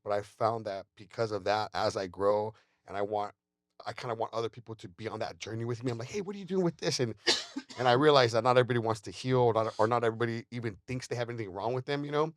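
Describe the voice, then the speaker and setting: A man's voice: medium pitch, fast, no room sound, studio quality. A man hosting a podcast, talking candidly and reflecting on himself, often restarting his words. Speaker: podcast host. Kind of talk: casual conversation.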